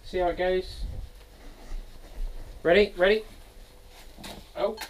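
A man's voice: sits high, steady tone, slow, low-quality recording. A young British man hosting a cooking vlog in his small home kitchen, swearing and laughing constantly. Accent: British English. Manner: profane, rowdy, laughs and yelps a lot. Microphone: fixed wide-angle camera across the room.

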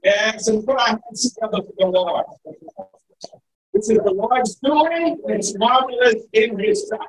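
A man, a pastor and guest speaker, opening his sermon loudly and exhorting the congregation to praise. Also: high voice, measured pace. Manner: loud, exhorting. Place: church sanctuary at a pulpit. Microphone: far camera, speaking without mic. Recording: echoey church from far off.

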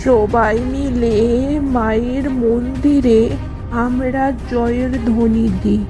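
A woman voicing a kindly old village granny in a cartoon, speaking slowly, deliberately and reassuringly. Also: fairly high pitch, fairly even intonation. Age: older. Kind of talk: Bengali cartoon voice acting. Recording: music behind the voice.

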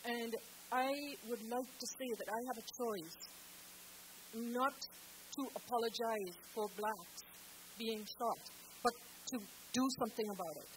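Firm, halting woman on a panel. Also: a little high, poor audio. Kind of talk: panel discussion response. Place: theatre stage panel. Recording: hissy auditorium recording.

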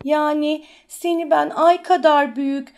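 A woman's voice: sits high, fairly even intonation, measured pace, a little mellow. A woman voicing a sweet, eager preschool boy in a children's cartoon, speaking simply and earnestly. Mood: loving, earnest.

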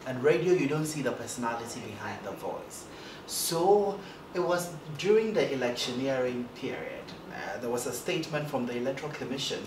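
A man's voice: animated, fairly quick. A man in his thirties with a light voice, talking animatedly as a guest in a seated interview, with some stammered starts. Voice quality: light, high-ish voice for a man. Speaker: broadcast journalist guest. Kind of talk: casual interview talk. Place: indoor studio set, seated in armchair. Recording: roomy echo, distant mic, background noise.

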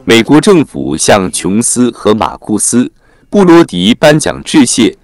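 A man's voice: medium pitch, sing-song, measured pace, darkish tone, no room sound. A man reading a scripted film plot summary as a narrator.

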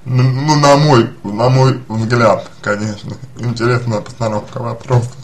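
A man giving unscripted personal advice as a psychologist, speaking deliberately and haltingly, often repeating and rephrasing his phrases. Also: mid-range voice, fairly even intonation. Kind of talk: spoken advice to a listener, unscripted.

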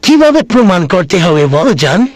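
A man's voice: high voice, sing-song, moderate pace. A man voicing a lovesick, boastful grandfather in a comedy cartoon, wheedling and melodramatic as he woos his wife. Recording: clear background noise.

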